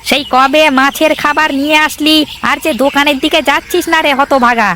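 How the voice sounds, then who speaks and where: A man's voice: extremely high pitch, fairly even intonation, fairly quick, sharp tone, faint music behind. A man voicing a pushy, nagging village shopkeeper in a cartoon, exclaiming and demanding. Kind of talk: cartoon voice acting.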